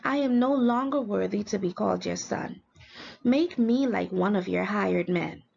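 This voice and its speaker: A woman's voice: medium pitch, measured pace, creaky, darkish tone. A woman voicing a starving, repentant young son in a storybook parable, humble and pleading. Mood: humble, remorseful. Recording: dull lo-fi recording, faint background noise.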